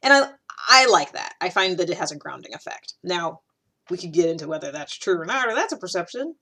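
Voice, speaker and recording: A woman talking casually to a camera, animated and chatty, with many fillers and restarts. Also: lowish voice, brisk, slightly rough. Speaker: video host. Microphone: camera, solo recording.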